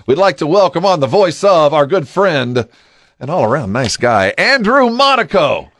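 A man's voice: on the high side, big pitch swings, moderate pace, fairly bright tone, no room sound, clean studio sound. A man hosting a sports radio morning show, chatting casually with co-host and guests.

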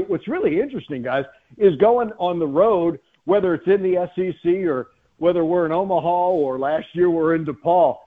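Dull lo-fi radio line, American man, fairly high pitch, announcer as guest, casual interview chat.

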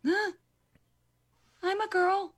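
A woman's voice: very high voice, level tone, drawn-out words. A young woman co-hosting a radio morning show, giggly and teasing in casual banter. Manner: giggly, self-deprecating, teasing. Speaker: radio co-host. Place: radio studio.

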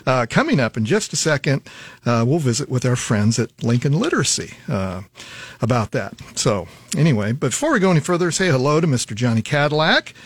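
A man's voice: mid-range voice, sing-song, brisk, slightly rough, no echo. Chatty male radio host. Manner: chatty, frequent "uh" fillers. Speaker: radio talk show host. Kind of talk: casual radio interview. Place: radio studio.

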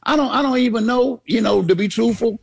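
A man co-hosting a talk radio show, talking in a halting way with many restarts. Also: very high-pitched, vocal fry, slightly rough. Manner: halting, restarts often, laughs easily. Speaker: radio co-host. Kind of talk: casual talk radio banter.

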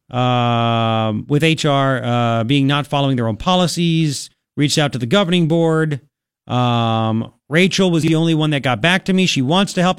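Higher-voiced Long Island man on talk radio. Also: clear, resonant tone, dry recording, studio quality. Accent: Long Island New York. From Long Island, New York. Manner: emphatic, colloquial, repeats phrases for effect. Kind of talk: opinionated political talk radio monologue.

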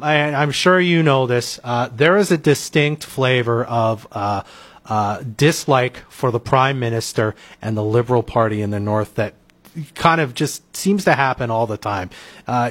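A man's voice: medium pitch, moderate pace. A man hosting a local radio interview show, asking questions in a hesitant way with many filler sounds. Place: radio studio.

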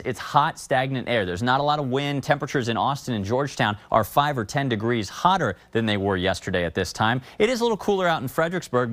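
A man's voice: mid-range voice, a bit bright. Male TV meteorologist, conversational, walks viewers through the map. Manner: conversational, explanatory. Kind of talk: weather forecast.

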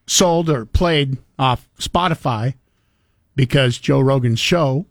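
A man's voice: medium pitch, takes it slowly, no echo, studio quality. A man hosting a morning talk radio show, chatting casually, laughing easily and rambling with fillers. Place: radio studio. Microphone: studio microphone.

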